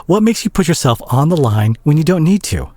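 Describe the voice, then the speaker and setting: A man playing a concerned, exasperated superhero scolding a reckless teammate in a dramatic audio roleplay, husky and breathy, with halting, emphatic pauses. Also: a little high, big pitch swings, darkish tone, no echo. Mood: softer, searching.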